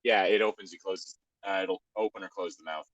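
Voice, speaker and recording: A man's voice: low-pitched, steady tone, a little fast, no room sound, muffled recording. A man co-hosting a podcast, chatting casually and joking in a wry way over an online call. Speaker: podcast co-host. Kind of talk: casual joking group chat.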